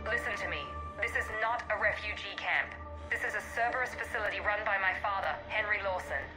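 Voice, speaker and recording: An actress playing a crisp, urgent female operative in a video game. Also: mid-range voice, brisk, music in the background, lightly acted. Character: sharp confident female operative.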